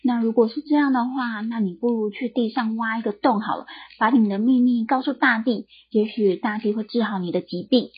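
A woman voicing a calm, knowing village doctor in a storybook tale, giving advice in a coaxing manner. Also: on the high side, brisk, few pauses, slightly rough, dull audio. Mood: advising.

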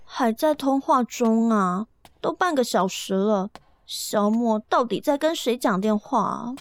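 A very high-voiced young woman in love in a radio drama. She speaks slowly and expressively, musing and pouting, quick to get flustered. The sound is close and dry. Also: expressive, dry recording. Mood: wistful musing. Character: young woman in love, excitable, bashful, playfully pouty. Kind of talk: Mandarin radio drama acting.

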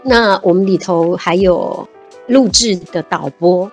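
A woman's voice: on the low side, measured pace, soft background music. A woman, a guest chatting casually and animatedly in an interview. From Taiwan. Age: 50s. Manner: animated, laughs easily, many fillers. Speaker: guest, civil servant.

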